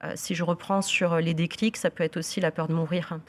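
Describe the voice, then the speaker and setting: A woman who heads a social service, a guest on a TV talk show, giving measured explanations with frequent tags and fillers. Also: fairly low pitch, level tone.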